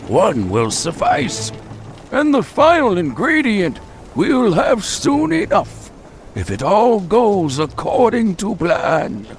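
A sinister old sorcerer intones grandly in a radio play. The voice sits extremely high and swoops widely, drawing out each phrase like a ritual incantation. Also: male voice, wildly swooping pitch, faint music behind, heavily acted. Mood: ominous. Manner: slow, grandiose, incantatory delivery. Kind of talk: old-time radio drama acting.

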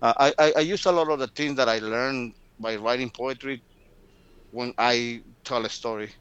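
A man's voice: mid-range voice, moderate pace, fairly bright tone, clean tone, highs cut off. A man in his fifties from Guatemala, a native Spanish speaker with a Guatemalan accent, gives reflective interview answers and now and then repeats words in a slight stutter. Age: 50s. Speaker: storyteller, interviewee.

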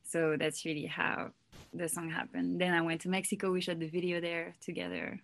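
A woman's voice: on the low side, moderate pace, vocal fry. A young woman from France, a singer talking casually in an interview, full of "like" and "you know". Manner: relaxed, loose, heavy with "like" and "you know". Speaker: singer and songwriter guest.

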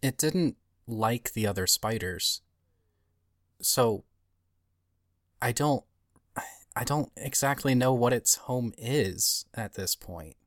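A woman playing a hesitant, pleading hunter in an audio drama, speaking haltingly and unsure of herself. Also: a bass voice, expressive, dry recording, clean studio sound, full character voice. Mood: worried, pleading. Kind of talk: scripted audio drama dialogue.

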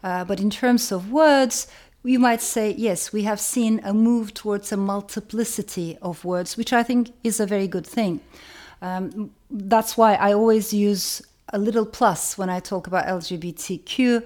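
A woman from Turkey giving a reflective educational talk, speaking in a measured way and repeating phrases for emphasis. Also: mid-range voice, darkish tone.

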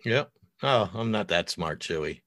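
American man, middle-aged, low-pitched voice, dry close sound, opinionated, blunt, casual swearing. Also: animated, measured pace, a bit bright, no room sound. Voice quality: deep voice. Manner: opinionated, blunt, crude joking. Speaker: podcast guest, podcaster and audiobook narrator. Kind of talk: casual, profane podcast chat.

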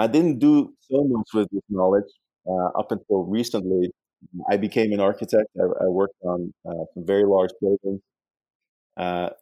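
Deep-voiced Dutch man, halting speech. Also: dark tone, no room sound, low-quality recording. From Netherlands. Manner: halting, many "uh" fillers and repeats. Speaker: guest author, architect. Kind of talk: podcast interview answers.

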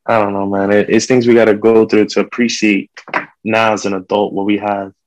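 A man's voice: fairly low pitch, level tone, fairly quick, no room sound, low-quality recording. A man in casual conversation, talking informally with street slang.

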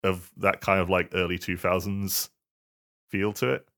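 A man's voice: sits low, little pitch movement, unhurried, sharp tone. A man chatting casually about video games as a podcast co-host, often stammering and repeating words as he goes. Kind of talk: casual video game chat.

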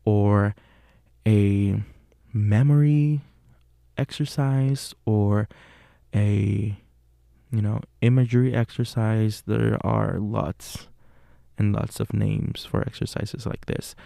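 A young man with a Puerto Rican Spanish accent hosts a solo podcast. His low, mellow voice carries a gravelly fry, and it sounds close and dry. He speaks in an earnest, unhurried way and often pauses on fillers to correct himself. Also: steady tone, no room sound. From Puerto Rico. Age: young adult. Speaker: podcast host.

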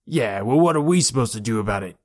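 A man's voice: mid-range voice, lively intonation, fast talker, a little mellow, no echo, studio quality, natural voice. Man doing a surly, scoffing young man. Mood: dismissive. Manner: scoffing, grumbling delivery. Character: surly young man of the forest, dismissive and cheeky.

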